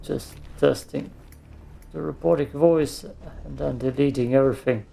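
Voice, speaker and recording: A man's voice: medium pitch, fairly even intonation, moderate pace, vocal fry, warm tone, faint music behind. A man giving casual gameplay commentary, muttering to himself and given to swearing. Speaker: let's play streamer.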